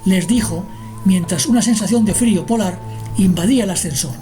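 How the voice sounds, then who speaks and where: A woman's voice: medium pitch, a little fast. A woman narrating a scripted radio drama as the storyteller. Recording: music behind the narration.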